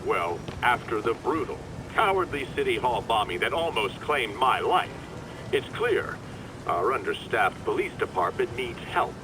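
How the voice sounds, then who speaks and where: Mayor with sweeping intonation. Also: male voice, medium pitch, sing-song, measured pace, distant mic, background noise. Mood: grave, resolute. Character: smooth-talking male politician, a city mayor. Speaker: call-in guest on radio show. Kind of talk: measured political speech.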